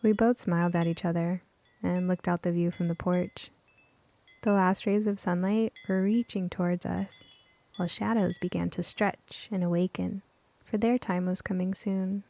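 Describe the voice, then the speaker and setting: A woman's voice: on the low side, fairly even intonation, normal speed, creaky, mellow. A woman reading scripted first-person story narration as an audiobook narrator.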